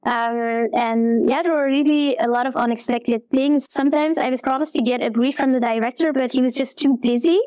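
A woman's voice: sits high, steady tone, a little fast, few pauses, darkish tone, smooth, no echo, low-quality recording. Hesitant woman actor from Belgium. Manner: hesitant, many fillers and restarts. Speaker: guest actor. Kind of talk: casual interview chat.

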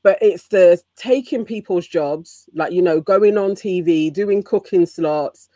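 A woman in a casual panel chat who talks in a measured, analytical way. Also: fairly low pitch, mellow, dry recording.

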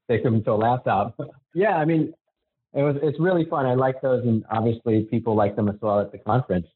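A man from the Philippines, a marketing executive, answering interview questions in a relaxed, friendly way, often restarting his sentences. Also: medium pitch, brisk, husky. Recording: tinny phone-line sound.

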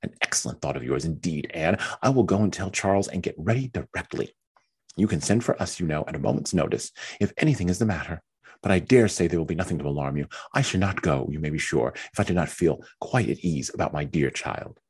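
A man's voice: low-pitched, animated, a little fast, a little mellow, husky, exaggerated voice. A man voicing a fretful, self-pitying young mother who whines and complains in a raised voice. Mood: eager. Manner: complaining, whiny and petulant.

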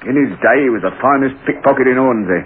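An actor playing an officious, exasperated police constable in a 1960s radio drama. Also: male voice, mid-range voice, fast talker, narrow, tinny audio, lightly acted. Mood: exasperated, scolding. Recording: noisy band-limited old radio recording.

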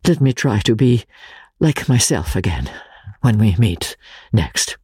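A man playing an anguished, honour-bound foreign gentleman who makes a fearful confession in a hushed, pleading voice, in a dramatic audiobook reading. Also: fairly low pitch, very animated, a little slow, slightly rough, no echo, exaggerated voice. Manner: hushed, emphatic, solemn pleading.

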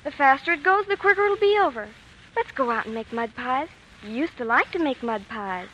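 A bright-voiced, earnest little girl. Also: high-pitched, animated, brisk, muffled recording. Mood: bossy, reassuring. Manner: plain-spoken, childlike, working-class Brooklyn grammar. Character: earnest young girl, loving daughter and protective big sister. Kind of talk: 1940s radio drama acting. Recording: faintly noisy 1940s radio broadcast.

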